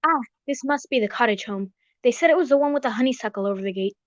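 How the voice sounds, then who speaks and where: A woman playing a loyal, easily indignant gentleman sidekick in a radio drama, exclaiming in an old-fashioned, blustering way. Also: fairly high pitch, lively intonation, quick pace, background noise, natural voice. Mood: concerned, curious. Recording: dull, roomy recording.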